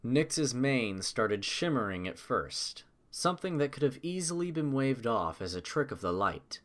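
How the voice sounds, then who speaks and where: Man, audiobook narrator, very varied intonation, medium pitch, dramatic, measured storytelling. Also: very animated, a bit bright.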